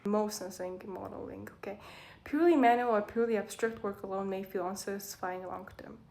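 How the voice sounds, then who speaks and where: A woman's voice: mid-range voice, a little fast, gravelly, mellow. A young woman with a Mongolian accent, a native Mongolian speaker, casually reading aloud and commenting in a rambling, self-correcting way as a vlogger. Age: young adult.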